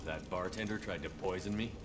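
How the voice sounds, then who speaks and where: An actor playing a steady military commander with a plain, direct manner. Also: male voice, low voice, brisk, noisy background, close to an everyday voice. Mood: composed, direct.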